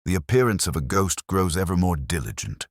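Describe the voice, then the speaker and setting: A man voicing a mild, shrewd police inspector in a detective story, speaking gently, deliberately and formally. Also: sits low, sing-song, dry recording, studio quality. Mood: cryptic, composed.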